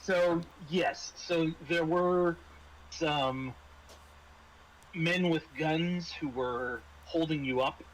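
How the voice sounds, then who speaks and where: A man running a tabletop game as game master, narrating casually and haltingly with dry, understated humour. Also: on the high side, a little hiss or hum, low-quality recording.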